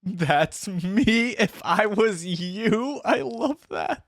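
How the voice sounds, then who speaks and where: A man hosting a reaction video, giving casual, rambling gaming commentary as he thinks out loud. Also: high-pitched, expressive, drawn-out words, no echo.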